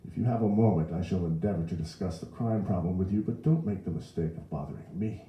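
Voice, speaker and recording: A man's voice: sits low, quick pace, highs cut off, lightly acted. A man voicing a haughty, pompous young man who rants in florid, declamatory indignation. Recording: roomy echo.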